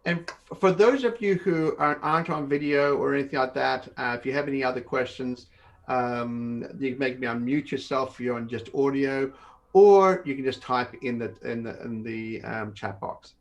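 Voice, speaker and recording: Webinar host, male, 50s, mid-pitched, conversational explainer who repeats words as he goes, on a video call. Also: normal speed. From born in Australia, grew up in northern Canada. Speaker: company host of an online Q&A session. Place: home office, indoors.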